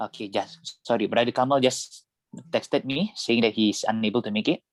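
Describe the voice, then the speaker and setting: A man's voice: lowish voice, normal speed. A man in casual conversation on a video call, chatty and laughing at his own remarks as he presents.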